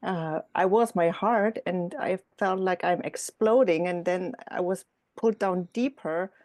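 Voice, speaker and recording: A woman talks very slowly and hesitantly, pausing often as she searches for words. Her voice sits in an ordinary middle range. The sound is dry and close, with no room echo. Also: lowish voice, a little mellow. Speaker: meditation retreat guest. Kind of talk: personal sharing in a group.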